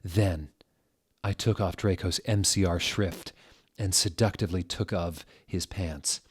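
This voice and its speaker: A man giving a mock-serious dramatic reading aloud, deliberate and theatrical, with literal attention to every odd word. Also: deep voice, steady tone, husky. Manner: deliberate, theatrical, reads typos and punctuation out literally. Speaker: dramatic reader of fan fiction.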